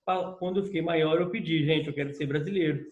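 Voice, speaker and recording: A man's voice: on the high side, fairly quick, lo-fi sound. A man with a Brazilian Portuguese accent giving a recorded law lecture, explaining step by step and checking in with his students. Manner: explanatory, repeats points with "tá?" check-ins. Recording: echoey room.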